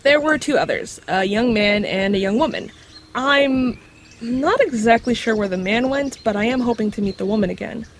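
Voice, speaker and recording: A woman's voice: fairly high pitch, moderate pace, a little hiss or hum, lightly acted. A woman voicing a knowledgeable magical creature in dog form, explaining things matter-of-factly with dry wit in an audio drama. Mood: reminiscing. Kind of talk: scripted audio drama acting.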